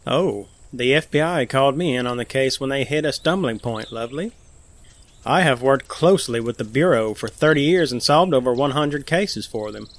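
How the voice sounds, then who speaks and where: A campy male psychic medium, played by an actor for an audio drama. His voice sits at an average pitch, and he speaks with theatrical self-assurance and breezy condescension. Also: normal speed, natural voice. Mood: breezy, self-assured. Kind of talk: fiction podcast interview acting.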